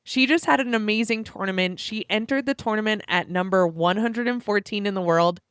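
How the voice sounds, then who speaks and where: A young woman co-hosting a casual sports podcast, chatty and quick to laugh as she recaps results. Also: medium pitch, a bit bright, smooth, no echo, studio quality. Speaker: podcast co-host.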